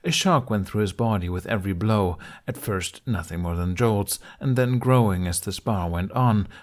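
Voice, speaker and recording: A man reading a scripted story aloud as an audiobook narrator. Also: on the low side. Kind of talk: scripted narration of fan fiction.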